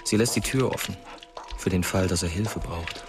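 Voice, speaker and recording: An actor as the sober storyteller of a German crime radio drama, giving scripted third-person narration. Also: male voice, low voice, normal speed, over music, natural voice.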